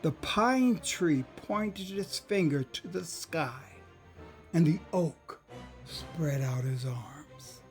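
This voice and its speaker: A man performing a dramatic poetry recitation, solemn and reverent, moving between hushed tones and booming declamation. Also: very slow. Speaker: poet performing a dramatized poem. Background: music.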